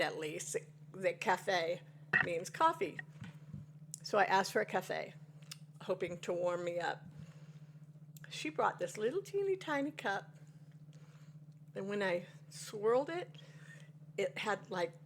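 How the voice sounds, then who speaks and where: A woman's voice: mid-range voice, slight background noise. A woman in her sixties, chatting to viewers over coffee and rambling through a personal story with folksy exclamations. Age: 60s. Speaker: devotional vlogger.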